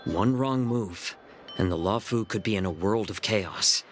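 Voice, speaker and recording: A man's voice: on the low side, a little slow, a little hiss or hum, lightly acted. A man playing a wry, eloquent young military healer in a video game, articulate and theatrical. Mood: measured, analytical. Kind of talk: scripted video game voice acting. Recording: game music underneath. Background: background music.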